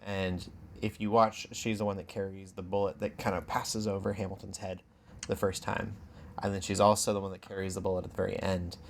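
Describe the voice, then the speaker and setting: A man chatting casually as a podcast co-host, informal and halting as he thinks aloud. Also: low voice, little pitch movement, slight background noise. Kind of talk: casual chat.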